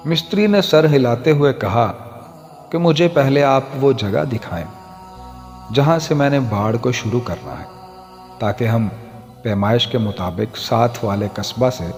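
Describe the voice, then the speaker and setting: A man narrating a scripted moral story as a storyteller, in a measured, formal literary manner. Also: medium pitch, expressive, darkish tone. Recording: long hall reverb, music underneath. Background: background music.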